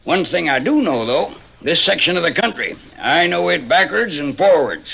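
Gravelly rural American cabbie, old radio. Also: male voice, on the high side, lively intonation, moderate pace, heavily acted. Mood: sly, knowing. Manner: drawling, colloquial backwoods talk. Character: folksy rural male taxi driver, sly and obliging. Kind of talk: 1940s-50s radio drama acting. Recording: muffled, noisy old radio recording.

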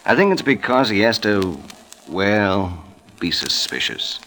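Deep-voiced, weary New cop. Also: male voice, sing-song, slow, fairly bright tone, heavily acted, low-quality recording. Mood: probing. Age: 30s. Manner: clipped, insinuating. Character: weary, suspicious 37-year-old New York police detective. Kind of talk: 1950s hardboiled radio acting. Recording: old radio drama recording.

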